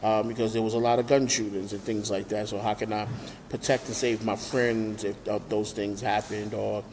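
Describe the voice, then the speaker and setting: Guest, NY accent, thirties, low voice, loose and rambling. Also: male voice, moderate pace, a little hiss or hum, low-quality recording. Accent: New York. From Brooklyn, New York. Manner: relaxed, many fillers and restarts. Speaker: nonprofit founder, guest. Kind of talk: remote interview conversation. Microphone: remote call.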